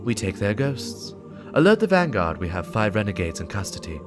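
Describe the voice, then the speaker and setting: A man's voice: lowish voice, sing-song, normal speed, music in the background. A man voicing a tough, determined female warrior commander with a terse manner in a story reading. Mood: commanding.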